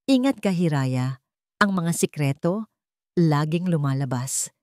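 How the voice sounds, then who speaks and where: A woman's voice: low-pitched, lively intonation, measured pace, warm tone, no room sound, studio quality. A Filipino woman voicing a smug, scheming female villain in a story, taunting and mock-innocent. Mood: smug threat.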